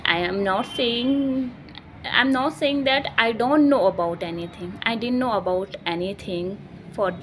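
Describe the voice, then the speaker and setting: Young woman, slightly high voice, very slow and halting, earnest vlogger addressing her viewers. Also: a little hiss or hum. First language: Hindi. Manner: earnest and halting, peppered with "okay". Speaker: English-learning channel host. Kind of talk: casual vlog talk to camera.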